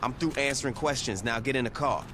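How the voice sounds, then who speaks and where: A man's voice: medium pitch, quick pace, close to an everyday voice. An actor playing a tough, sarcastic male gang leader, terse and threatening, in a video game cutscene. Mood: sardonic, threatening. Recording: loud background noise.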